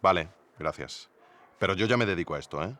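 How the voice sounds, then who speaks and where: A man's voice: low-pitched, animated, sharp tone, no echo. An actor playing an earnest male podcast investigator, narrating a scripted investigation and asking questions. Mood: hesitant. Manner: hesitant when questioning, measured when narrating.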